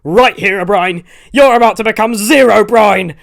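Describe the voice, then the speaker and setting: A man's voice: sits high, moderate pace, vocal fry, a bit bright, voice pushed up. A man voicing a cocky young male gamer hero with a grandiose, declamatory delivery. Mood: roaring defiance.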